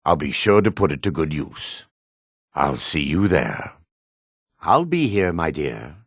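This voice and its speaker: An actor playing a male dark elf townsman in a fantasy video game, with a raspy voice, gruff and theatrical. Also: extremely low pitch, very animated, measured pace, no room sound, clean studio sound, heavily acted. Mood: calm, pleasant. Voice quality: raspy, husky.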